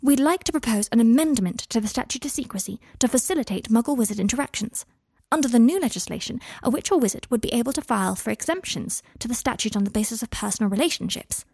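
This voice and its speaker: A woman voicing a brisk, clever and kindly witch in an audiobook, articulate and earnest. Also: a little high, lively intonation, creaky, a little mellow, husky, dry recording, voice pushed up, heavily acted. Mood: businesslike. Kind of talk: audiobook character voice.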